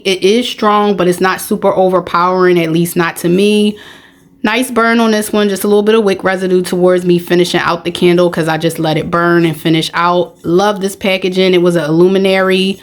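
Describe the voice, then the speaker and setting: A woman hosting a casual product review vlog, talking in a matter-of-fact way, her voice a little raspy and hoarse from getting over being sick. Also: medium pitch. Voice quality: slightly raspy. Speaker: video host, product reviewer.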